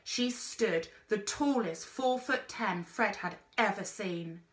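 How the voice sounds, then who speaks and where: A woman reading a children's story aloud in an expressive narrating style, like a teacher. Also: medium pitch, slow, reverberant.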